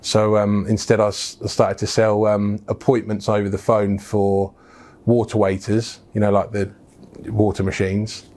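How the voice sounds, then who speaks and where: A man's voice: lowish voice, monotone, measured pace, darkish tone. A middle-aged English businessman telling his life story in a frank, casual interview, with plenty of fillers and restarts. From England. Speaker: business founder, guest.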